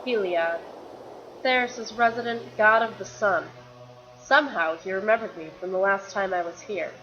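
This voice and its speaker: A woman's voice: mid-range voice, normal speed, fairly bright tone, lo-fi sound, soft background music, lightly acted. A woman playing a weary, guilt-ridden warrior and reluctant champion, telling her story earnestly in a fan-made fantasy drama. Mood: recounting, rueful. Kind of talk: dramatic dialogue in a fan-made motion comic.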